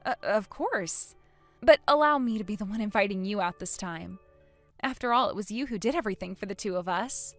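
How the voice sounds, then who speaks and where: A woman plays a fiercely protective mother in a melodramatic story. Her voice is bright and mid-pitched, with earnest, emphatic delivery in long speeches. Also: a little fast, no echo, soft background music, close to an everyday voice. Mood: tearful, grateful. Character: fiercely protective, outspoken, emotional mother of a young son. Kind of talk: melodramatic audio story acting.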